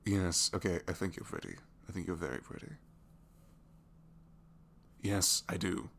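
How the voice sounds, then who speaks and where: A man playing a captured, reluctantly evil supervillain in a romantic ASMR roleplay, bashful and lovestruck, speaking softly and haltingly and slipping into whispers. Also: sits low, gravelly, slight background noise, full character voice. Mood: flustered, bashful. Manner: halting, self-deprecating, soft confiding delivery slipping into whispers.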